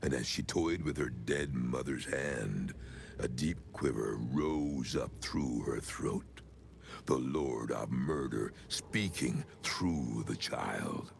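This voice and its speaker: An older man playing an ancient, sinister cult leader in a video game, with a very deep gravelly voice and a solemn, measured, archaic delivery. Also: animated, a little hiss or hum, exaggerated voice. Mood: dark reverence. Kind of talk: dramatic video game monologue.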